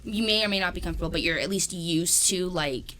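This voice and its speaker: A woman's voice: on the low side, fast talker, a bit bright. A young woman co-hosting a podcast, rambling in casual chat with lots of fillers and swearing. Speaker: podcast co-host.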